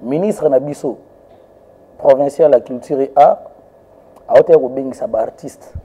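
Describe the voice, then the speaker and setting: A man's voice: mid-range voice, mellow, husky, rough recording. A male journalist asking interview questions, hesitant, with frequent fillers.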